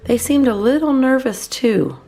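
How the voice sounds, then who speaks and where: A woman narrating a children's picture book as a storyteller, in an expressive scripted reading. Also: sits high, measured pace, gravelly. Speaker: children's storyteller, channel host.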